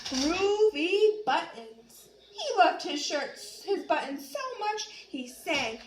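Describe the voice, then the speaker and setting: A woman's voice: very high voice, sing-song, takes it slowly. A woman reading a picture book aloud to her young child in a sing-song, coaxing way, asking questions as she goes. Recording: roomy, noisy home recording.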